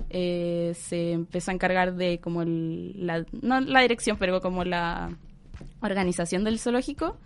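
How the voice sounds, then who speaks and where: A woman's voice: fairly low pitch, clear, resonant tone. Woman podcast co-host, loose rambling storyteller, faint music behind. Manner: rambling, many fillers, laughs often. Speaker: co-host presenting the segment. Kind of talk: casual podcast chat.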